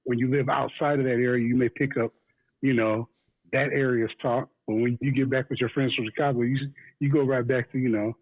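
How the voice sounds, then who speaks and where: A man's voice: medium pitch, steady tone, a little fast. A laid-back man with a Midwestern American accent, chatting casually as a guest with fillers and chuckles. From US mainland, Midwest. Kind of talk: casual chat. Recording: tinny phone line, dry.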